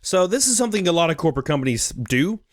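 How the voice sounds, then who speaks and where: A man's voice: a little high, very animated, quick pace, no echo. A man giving casual, blunt and sarcastic commentary as a streamer reacting to a recording.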